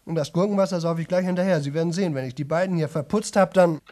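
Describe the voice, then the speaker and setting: A man's voice: a little high, very fast, a little mellow, natural voice. An actor playing a boastful young man who talks nonstop to an audience in a radio play, chatty, rambling and repetitive. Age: young adult.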